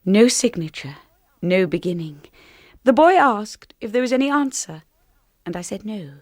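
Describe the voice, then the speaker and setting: An actress as a shy young woman working as a lady's companion in a radio play. She speaks gently and earnestly at a middling pitch, with a well-spoken, slightly hesitant delivery suited to intimate first-person storytelling. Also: no room sound, clean studio sound, natural voice. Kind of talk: radio drama acting and first-person narration.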